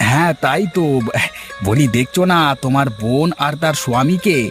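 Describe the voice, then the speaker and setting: A man's voice: fairly high pitch, moderate pace, music in the background, lightly acted. A man voicing a poor, down-to-earth farmer husband in a cartoon, teasing and lecturing in a folksy way. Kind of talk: cartoon dialogue acting.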